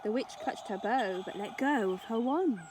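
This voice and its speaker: A woman narrating a rhyming children's story in an expressive, theatrical, rhythmic way. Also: a little high, normal speed, very noisy.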